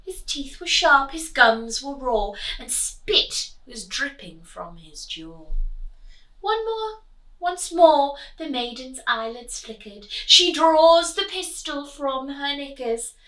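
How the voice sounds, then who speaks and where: A woman teacher reading a rhyming storybook aloud to children in a sing-song, animated way, with little asides to her listeners. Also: high-pitched, slow pace, recorded from a distance. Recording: echoey room, voice from the mic.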